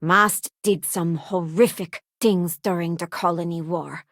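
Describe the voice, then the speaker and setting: A woman's voice: on the low side, steady tone, measured pace, a bit bright, no room sound, clean studio sound. An actress playing an earnest, loyal and idealistic young woman crewmate in a space video game, speaking conversationally. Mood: earnest, reflective.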